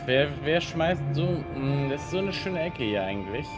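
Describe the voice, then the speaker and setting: Male game streamer over loud music. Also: fairly high pitch, expressive, moderate pace. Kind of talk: casual live gameplay commentary.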